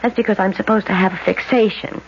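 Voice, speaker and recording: An American actress playing a troubled young woman in a 1970s radio drama, halting and emotional in her delivery. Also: mid-range voice, fairly even intonation, natural voice. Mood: agitated, weary. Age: young adult. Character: troubled, overwrought young woman plagued by guilt and headaches. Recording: dull, noisy old radio broadcast.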